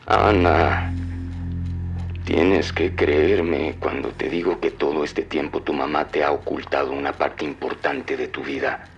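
An actor playing a grave, regretful father making a solemn confession on an old cassette in an audio drama. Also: a bass voice. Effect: old cassette tape filter. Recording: dull lo-fi cassette tape, faint music.

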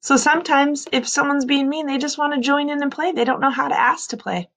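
A woman in her thirties reading a children's story aloud like a teacher, expressively, with dramatic drawn-out emphasis on key words. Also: sits high, fairly bright tone. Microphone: computer mic on a screen-share video recording.

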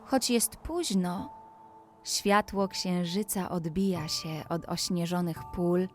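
A woman narrating a scripted bedtime story in a gentle, soothing manner, as if guiding a child toward sleep. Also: on the low side, slow. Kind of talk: slow scripted bedtime story with guided relaxation. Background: background music.

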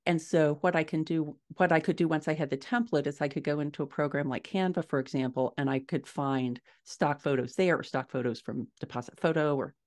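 A middle-aged woman giving measured, explanatory answers as a guest author in a conversational interview over a video call. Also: sits low, fairly even intonation, a little mellow. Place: small furnished room at home, indoors.

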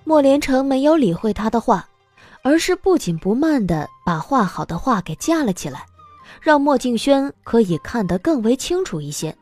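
A woman giving expressive scripted narration of a romance novel as an audiobook narrator. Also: a little high, normal speed, no echo, soft background music.

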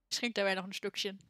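A woman's voice: medium pitch, a bit bright, no room sound, studio quality. A woman streaming a game with friends, chatting playfully, teasing and giggling often. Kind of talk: casual gaming chat.

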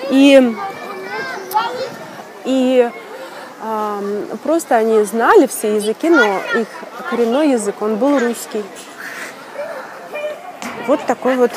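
Woman storyteller, casual and animated, laughs a lot; noisy outdoor crowd in the background. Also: fairly high pitch. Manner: animated, laughing often, many fillers and restarts. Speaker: video blogger.

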